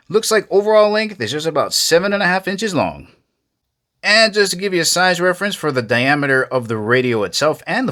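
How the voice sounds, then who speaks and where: A man's voice: medium pitch, sharp tone. A man hosting a casual hands-on gadget review, talking plainly and directly to viewers in a folksy way. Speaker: product reviewer, video host.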